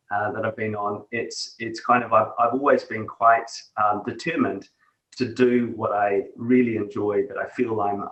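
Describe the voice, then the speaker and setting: A man's voice: lowish voice, a bit bright. A middle-aged man with a New Zealand accent, reflecting as an interview guest, with many fillers and restarts. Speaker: guest, tech executive. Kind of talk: conversational interview. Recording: echoey room, distant from mic.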